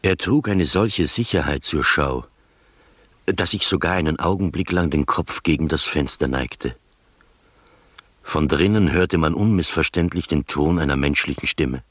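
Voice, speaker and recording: Doubting gentleman telling an uncanny tale, radio play, really deep voice, measured storytelling, no room sound. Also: husky, no echo, full character voice. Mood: measured, suspenseful. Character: skeptical gentleman narrator recounting an uncanny adventure. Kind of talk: scripted radio drama narration.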